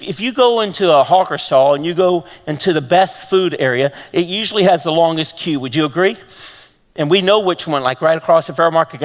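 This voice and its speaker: A man with a Texan accent preaching a lively sermon to a congregation, throwing out questions to the room. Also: high-pitched. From Texas. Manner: animated, rhetorical questions to the congregation. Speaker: pastor. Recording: long hall reverb.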